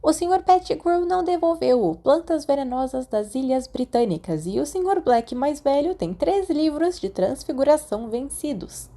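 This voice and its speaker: Storybook role: strict Brazilian female librarian, performed by a woman narrator, curt, officious, pitched up. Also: animated, moderate pace, hardly any pauses, dark tone. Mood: stern. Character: brusque, strict, possessive female school librarian.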